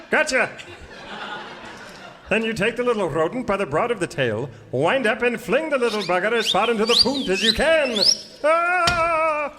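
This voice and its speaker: High-voiced, hearty Canadian Mountie. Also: male voice, bright, forceful tone, exaggerated voice. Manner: hearty, formal, ends sentences with "eh". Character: proud, chirpy Canadian Mountie sergeant, boastful, sentimental. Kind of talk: comic Western radio theater sketch before an audience. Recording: reverberant hall, crowd, faint noise.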